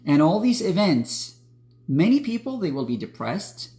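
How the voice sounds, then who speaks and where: A man's voice: on the high side, very animated, a little slow, warm tone, faint music behind. A young man from Iraq, a spiritual coach, talking straight to his viewers in an earnest, preachy motivational style. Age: young adult. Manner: earnest, direct, preachy, addresses viewers as guys. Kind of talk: motivational talk to camera.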